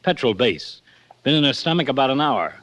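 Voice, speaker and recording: A man's voice: medium pitch, very animated, fairly quick, fairly bright tone. A matter-of-fact lieutenant in 1940s radio crime drama. His voice is mid-range, plain and clipped, with an easy friendly footing.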